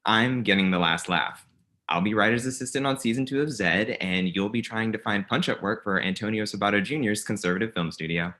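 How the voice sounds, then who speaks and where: An actor at a comedy table read playing an aggrieved, smugly ambitious office rival, earnest and combative. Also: male voice, fairly low pitch, fairly quick, pushed and bright.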